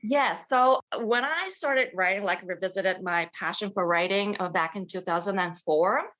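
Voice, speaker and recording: A woman's voice: mid-range voice, normal speed, fairly bright tone. A middle-aged woman, a native Bulgarian speaker from Bulgaria, answering interview questions as a children's author in an enthusiastic way, laughing often.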